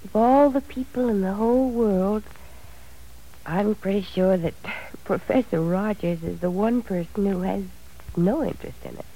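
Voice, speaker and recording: An actress playing a dreamy, affectionate young wife who talks in a wistful, musing way, in 1940s radio style. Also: female voice, medium pitch, moderate pace, dark tone, lightly acted, poor audio.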